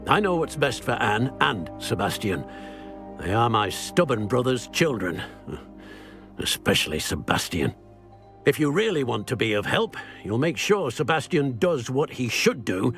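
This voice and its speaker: An actor playing a stern, bitter middle-aged uncle who talks harshly and dismissively, in a video game cutscene. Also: male voice, mid-range voice, very animated, measured pace, music in the background. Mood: cold.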